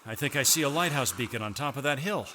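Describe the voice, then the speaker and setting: A man's voice: medium pitch, animated, quick pace, natural voice. A man acting a rugged space mercenary over music. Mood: weary, grieving. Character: male shipwrecked mercenary hunter, rugged and plainspoken, courteous. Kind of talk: space adventure audio drama acting.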